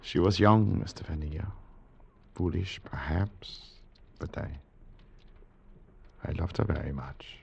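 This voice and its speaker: An actor in a 1950s radio drama playing a grieving foreign man with a gravelly, husky voice. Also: deep voice, slow, pauses often, muffled recording, exaggerated voice.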